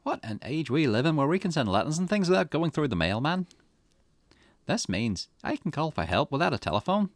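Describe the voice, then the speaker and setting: A man's voice: a little high, big pitch swings, fairly quick, no room sound. A man voicing a curious, puzzled investigator who thinks aloud in a visual novel, musing and questioning. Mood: curious, excited. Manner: musing, questioning, swooping intonation. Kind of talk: visual novel character reading. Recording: music behind the voice.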